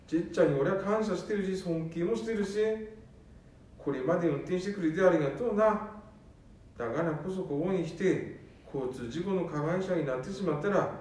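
A man's voice: high voice, darkish tone, close to an everyday voice. A man playing an earnest eldest son who pleads with his father in a Nanbu dialect. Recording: echoey room, distant mic.

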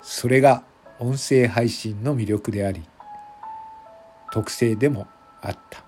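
A man reading scripted narration for an audio drama in a measured, deliberate manner, phrase by phrase. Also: mid-range voice, darkish tone, soft background music.

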